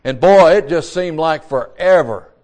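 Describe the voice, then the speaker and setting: Older male pastor, sixties, high-pitched, folksy sermon delivery with repeats and restarts. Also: lively intonation, measured pace, darkish tone. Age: 60s.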